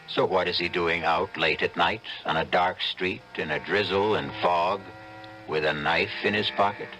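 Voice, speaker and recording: An actor playing an ominous, wry storyteller, narrating a suspense tale deliberately and dramatically in old-time radio style. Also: male voice, low-pitched, sharp tone, slight background noise, rough recording. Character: ominous, sardonic male storyteller of suspense tales.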